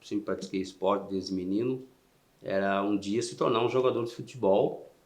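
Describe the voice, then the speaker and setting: A man in his fifties from São Paulo with a São Paulo accent, answering interview questions in a soft, halting way with frequent "né" tags. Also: on the low side, steady tone. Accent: São Paulo Portuguese. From São Paulo, Brazil. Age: 50s. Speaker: interview guest, Paralympic swimmer.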